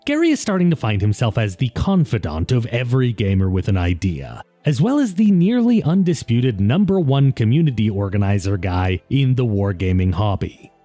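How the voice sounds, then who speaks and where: A man's voice: medium pitch, big pitch swings, normal speed, mellow, no echo, faint music behind. A man narrating a scripted video essay in a conversational, wry way, as the host of the show.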